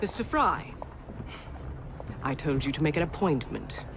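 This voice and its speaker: A woman's voice: deep voice, very animated, a little slow, vocal fry, over loud music, exaggerated voice. An actress playing a shrewd, refined Victorian businesswoman in a game cutscene, with poised, polished diction. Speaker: businesswoman Pearl Attaway.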